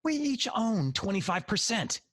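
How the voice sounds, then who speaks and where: A man's voice: sits high, big pitch swings, a little slow, a bit bright, no echo, clean studio sound, full character voice. A man playing a grumbling, cocky young security guard in an audio drama, sardonic in manner. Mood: griping. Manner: sardonic, griping.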